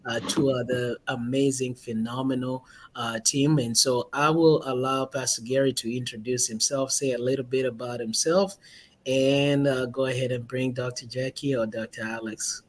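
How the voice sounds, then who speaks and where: A man moderating a panel, introducing the team with enthusiasm and halting phrases. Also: medium pitch, fairly even intonation. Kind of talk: hosting a panel.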